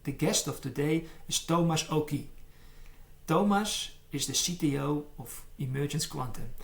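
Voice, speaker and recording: A man hosting a podcast, introducing his guest and asking questions in a halting, repetitive way. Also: a little high, gravelly. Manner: halting, repeats words, non-native phrasing. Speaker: podcast host.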